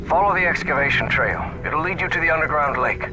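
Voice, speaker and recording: A scholarly, stern yet protective male professor played by an actor in a video game. He speaks formally and precisely in a fairly high voice, over background music. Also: animated, fast talker, close to an everyday voice, rough recording. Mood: explanatory.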